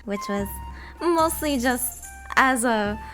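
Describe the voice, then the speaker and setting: A woman's voice: on the high side, lively intonation, slow pace, fairly bright tone, faint music behind. A woman chatting casually as a podcast guest, giggly and often laughing between phrases. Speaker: podcast guest, voice actor and streamer. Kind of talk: casual chat.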